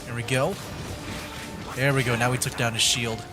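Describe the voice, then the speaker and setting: A man gives casual livestream commentary on a game in a medium-pitched voice. He is chatty and slangy, reacting as he goes. Faint game music plays underneath. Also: little pitch movement, moderate pace. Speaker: game streamer.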